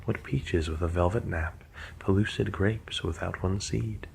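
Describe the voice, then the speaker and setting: A man reading the lines of an eager, dreamy young woman, in a soft, partly whispered voice. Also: low-pitched, a little slow, gravelly, a little hiss or hum.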